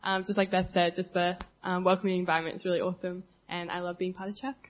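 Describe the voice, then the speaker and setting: A woman's voice: on the low side, little pitch movement, fast talker. A young woman introducing herself at church, a little hesitant with many ums, into a handheld mic. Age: young adult. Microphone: handheld microphone. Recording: dull lo-fi church recording.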